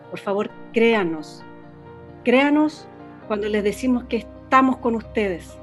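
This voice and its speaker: Woman doing a chilean radio director pleading with her listeners, highish voice, soft music behind. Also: close to an everyday voice. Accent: Chilean Spanish. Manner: solemn, pleading, halting and self-correcting. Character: earnest female radio station director, a mother. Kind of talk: radio drama acting.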